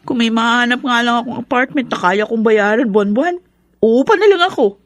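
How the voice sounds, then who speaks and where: An actress plays a young Filipino eldest daughter in a radio drama, her voice pitched high. She is fed up with home yet protective as a big sister. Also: brisk, dry recording, studio quality, lightly acted. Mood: frustrated, hurt.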